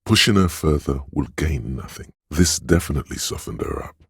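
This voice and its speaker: Smooth-talking inner voice of persuasion for a game: extremely low, very husky, insinuating advisor. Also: male voice, animated, normal speed, a bit bright, no room sound, clean studio sound, full character voice. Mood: gently guiding. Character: suave, coaxing inner voice of persuasion, male. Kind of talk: video game voice acting, advisory narration.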